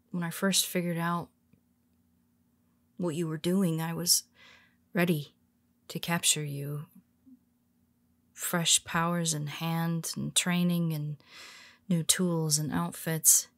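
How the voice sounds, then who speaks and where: A woman voicing a cool, scheming female superhero who quietly confides in her nemesis in an audio roleplay, speaking softly and wryly, often in a whisper. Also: fairly low pitch, slow pace, long pauses. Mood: confiding. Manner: calm, wry and confiding. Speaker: voice actor.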